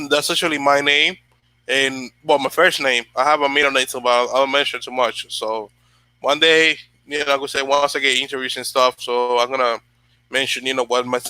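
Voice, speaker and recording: Bright-voiced man rambling to live chat. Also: medium pitch, dry recording, poor audio. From Dominican Republic. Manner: rambling, many fillers and restarts, reads live chat. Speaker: channel host. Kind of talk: casual motivational livestream talk.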